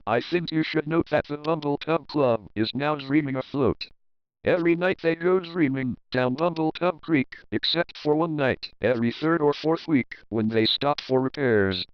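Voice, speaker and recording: A man's voice: on the high side, measured pace, smooth, no echo, lo-fi sound. A man narrating a children's picture book as a readalong, reading rhyming verse expressively and rhythmically. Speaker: storybook narrator.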